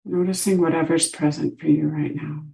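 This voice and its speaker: A woman teaching a guided meditation, giving gentle instructions with long pauses between short phrases. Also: sits low, fairly even intonation, vocal fry, low-quality recording.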